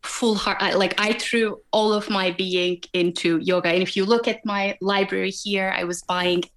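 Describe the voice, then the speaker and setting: A woman with a Turkish accent, answering interview questions as a guest in a measured, reflective way. Her voice sits at a medium pitch. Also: steady tone, a bit bright. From Turkey. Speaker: guest, wound healer and coach.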